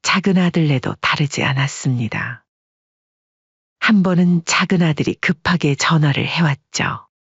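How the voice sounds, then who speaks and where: A man narrating a family story in calm, measured audiobook style. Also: a little high, slightly rough, dry recording, dull audio.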